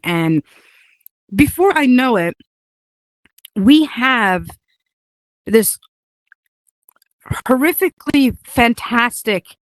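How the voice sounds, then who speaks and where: A woman's voice: fairly high pitch, no echo, low-quality recording. A woman whose first language is Hungarian, chatting casually about building a game, rambling with many fillers and laughs. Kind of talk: casual chat.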